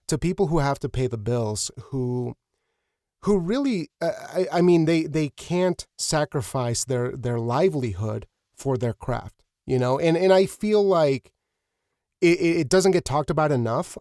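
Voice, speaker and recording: A man hosting a conversational interview, speaking earnestly and haltingly, often repeating words as he thinks. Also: mid-range voice, a little mellow, no room sound, studio quality. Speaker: podcast host.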